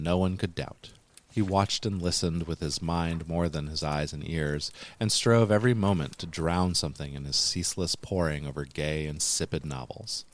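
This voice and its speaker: A man reading scripted horror narration as a podcast host, in a measured, deliberate and formal manner. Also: low-pitched, no echo.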